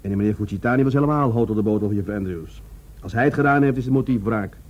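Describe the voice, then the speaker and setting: A man playing an earnest, self-doubting police adjutant in a radio drama, speaking deliberately and deferentially. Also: on the low side, a little mellow, lightly acted. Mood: earnest, explaining. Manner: deliberate, hesitant, deferential to his superior. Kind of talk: Dutch radio drama dialogue. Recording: dull radio recording, faint noise.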